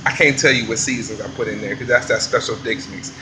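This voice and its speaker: A man, a chef filming a casual vlog in a commercial kitchen, talking in relaxed slang. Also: lowish voice, quick pace, background noise. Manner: laid-back slangy hype talk. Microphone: handheld phone camera.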